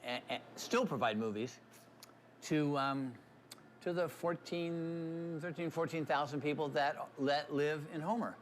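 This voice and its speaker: A man's voice: fairly high pitch, unhurried. An older man giving a casual, folksy interview as a small business owner on location. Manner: chatty, folksy. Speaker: movie theater owner being interviewed. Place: inside a small movie theater building. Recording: faint background noise, on location.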